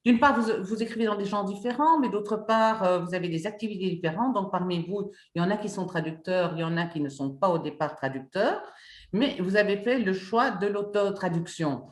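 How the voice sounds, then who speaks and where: A woman's voice: medium pitch, lively intonation, a little fast. A woman moderating an interview, asking questions and affirming answers with short interjections. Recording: echoey room.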